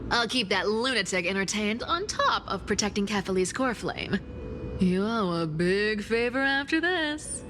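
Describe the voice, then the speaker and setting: A sly trickster demigod woman from a video game played by an actress, smug and teasing. Her delivery swings freely up and down with casual swagger. Music plays clearly behind her. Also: mid-range voice, very animated, normal speed, natural voice. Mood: playful, boastful.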